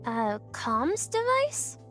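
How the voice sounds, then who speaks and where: A woman's voice: high voice, huge pitch swings, extremely slow, fairly bright tone, soft background music, heavily acted. An actress playing a young female game character, frank and puzzled, with a questioning, hesitant way of talking.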